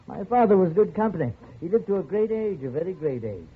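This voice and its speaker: An actor playing a lonely, genial but quietly menacing old jungle settler in a radio drama, speaking softly and haltingly with small chuckles. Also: male voice, sits high, very animated, breathy edge, heavily acted. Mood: wistful, eager. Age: older. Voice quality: soft, chuckling. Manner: halting, gentle, repetitive. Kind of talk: 1950s radio drama acting. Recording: muffled, lo-fi old radio recording.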